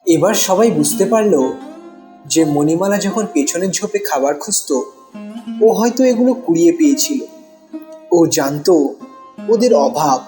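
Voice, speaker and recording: Higher-pitched male dramatic story narrator. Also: mellow. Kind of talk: scripted dramatic storytelling for a cartoon. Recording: music bed behind the voice.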